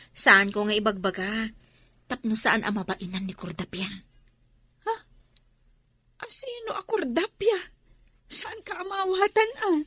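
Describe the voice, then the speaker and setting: A woman's voice: high-pitched, pushed and bright, no echo, exaggerated voice. A woman with a Filipino accent acting a teasing, outspoken young student worker in a radio drama.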